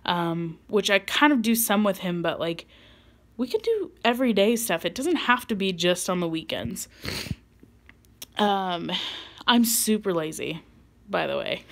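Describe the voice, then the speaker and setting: A woman's voice: lowish voice, a bit bright. A woman in her late twenties talking casually to her camera in a personal vlog, rambling with many ums and likes and laughing at herself. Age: 20s. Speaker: vlogger.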